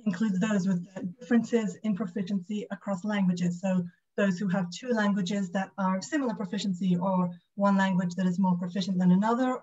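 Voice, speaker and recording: A woman's voice: mid-range voice, darkish tone. A woman with a British accent giving an academic lecture over a video call. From United Kingdom. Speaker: guest lecturer, speech and language pathologist. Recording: slightly dull video call audio.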